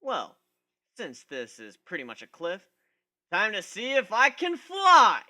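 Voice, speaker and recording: A man's voice: sits high, huge pitch swings, slow, pushed and bright, pitched above the performer's own voice, exaggerated voice. A man voicing a cocky, easygoing male griffin in a flippant, self-mocking way for an amateur story reading.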